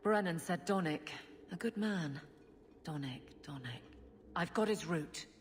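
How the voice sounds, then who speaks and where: A dutiful guardswoman in a fantasy game. Her lowish voice is serious and no-nonsense, with some reverb and faint noise. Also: expressive, a little slow. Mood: concerned, resolute. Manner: earnest, no-nonsense. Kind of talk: video game cutscene acting.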